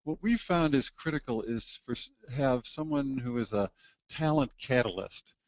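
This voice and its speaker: A man giving a live webinar presentation, explaining ideas in a measured way with frequent fillers. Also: medium pitch, no echo. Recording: slightly muffled, dry webinar audio.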